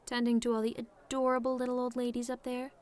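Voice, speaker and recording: A woman's voice: on the high side, monotone, fast, a little mellow, lightly acted. A woman playing a sardonic, teasing tomboy demon in a scripted roleplay monologue, talking in a casual, taunting way straight to the listener. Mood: fond, casual. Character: sardonic tomboy female demon, relaxed, teasing, secretly fond of simple pleasures.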